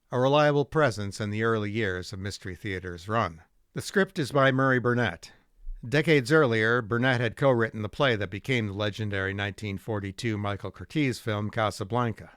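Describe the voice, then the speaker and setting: A man hosting a radio drama rebroadcast series, giving a measured, polished scripted introduction. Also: on the low side, fairly bright tone, smooth, no echo, clean studio sound. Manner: measured, polished presenter delivery. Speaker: host. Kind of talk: scripted narration.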